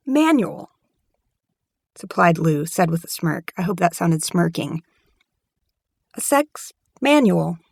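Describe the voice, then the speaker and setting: A woman reads passages from her story and coaches a guest through a recording session, laughing at herself as she goes. Her voice is mid-pitched and recorded close and dry. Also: no room sound. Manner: giggly, self-mocking asides. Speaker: author directing a recording session. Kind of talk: reading and recording-booth chat.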